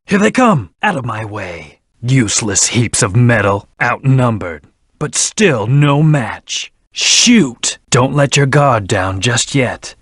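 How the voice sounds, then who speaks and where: Stern video game hedgehog antihero, brooding, terse, cool and controlled, mid-pitched, very dry close sound. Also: male voice, fairly bright tone, breathy edge, dry recording, exaggerated voice. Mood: fierce, combative. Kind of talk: video game voice acting, scripted hints and battle lines.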